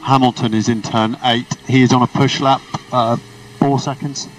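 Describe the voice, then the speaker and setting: Male race engineer, medium pitch, clipped and procedural, transmitted over distant team radio with car noise. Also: fairly even intonation, moderate pace. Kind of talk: motorsport team radio instructions.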